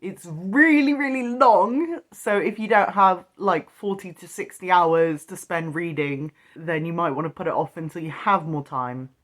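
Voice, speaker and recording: Lower-pitched woman, chatty guest reviewer. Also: a little slow, few pauses.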